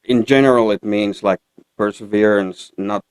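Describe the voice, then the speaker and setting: Male interview guest, Finnish accent, low resonant voice, halting casual delivery, dry studio sound. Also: steady tone, smooth, no room sound, rough recording. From Finland. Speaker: guest country singer-songwriter. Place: radio studio.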